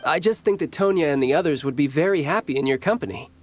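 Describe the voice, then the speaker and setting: A man's voice: a little high, animated, fast talker, faint music behind, natural voice. A man voicing a cocky, friendly young warrior in a video game, with a teasing, confident manner. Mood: warm, playful. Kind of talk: video game character voice lines.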